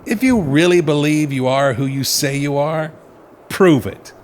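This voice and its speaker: Man playing a high-voiced, flustered novelist. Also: measured pace, a little hiss or hum, natural voice. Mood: skeptical. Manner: indignant, flustered. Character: flustered, defensive male novelist confronted by his creations. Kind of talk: audio play acting.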